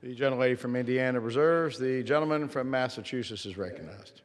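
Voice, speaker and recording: A man's voice: medium pitch, a little fast, slight background noise. A man presiding over a House floor debate, making formal procedural announcements. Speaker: presiding officer. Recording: long hall reverb.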